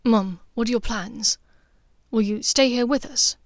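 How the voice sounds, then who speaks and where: A woman voicing a proud, sarcastic young man in an audiobook, with blunt, halting, emphatic delivery. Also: a little high, no echo, studio quality. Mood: anxious, relieved. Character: proud, bitter young wizard son, sarcastic.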